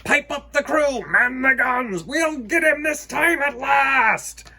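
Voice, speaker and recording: A man voicing a gloating, blustering pirate captain in a storybook, with drawn-out exclamations. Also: very high-pitched, pushed and bright, pitched above the performer's own voice, heavily acted. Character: gloating male pirate captain, grumbling and commanding.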